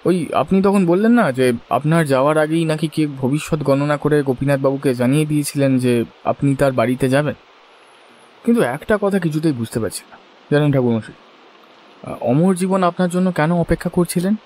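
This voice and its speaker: A man's voice: a little high, fairly quick, a little mellow. A man narrating a scripted horror audio story in the first person.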